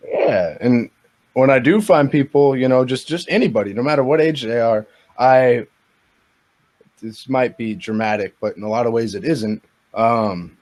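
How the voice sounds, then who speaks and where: A man's voice: medium pitch, normal speed, a little mellow, rough recording. A twenty-year-old man in a casual group chat, talking in an earnest, rambling, reflective way as a host.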